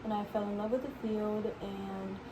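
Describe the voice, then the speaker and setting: A young woman answering job interview questions softly and casually, often saying "like". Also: medium pitch, level tone, normal speed. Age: young adult. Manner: soft-spoken, casual with frequent "like". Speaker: recreation leader job candidate. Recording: noisy background.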